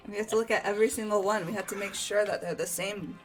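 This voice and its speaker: A woman's voice: mid-range voice, fairly even intonation, fast, music in the background. A young woman in a group game playthrough, voicing dialogue and joking casually.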